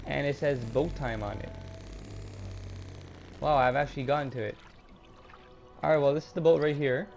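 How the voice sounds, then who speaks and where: A young man narrating a gameplay walkthrough casually, hesitating a lot with ums and restarts, his throat a little raspy. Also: mid-range voice, a little mellow, soft background music. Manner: halting, many ums and restarts. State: slightly raspy throat, clearing it. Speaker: gaming YouTuber.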